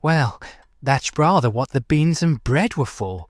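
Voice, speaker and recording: A man's voice: medium pitch, expressive, measured pace, no room sound, lightly acted. Flustered, stammering suburban husband, acted by a man. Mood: awkward, curious. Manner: hesitant, stammering, bumbling politeness. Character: flustered, polite, awkward married suburban man being seduced. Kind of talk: comic audio play acting.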